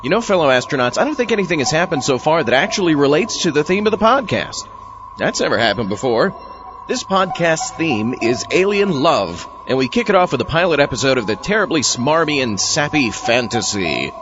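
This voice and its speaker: A man's voice: fairly high pitch, very animated, a little fast, background noise. A man hosting a podcast, delivering a humorous scripted introduction. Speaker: podcast host. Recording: dull sound with music behind.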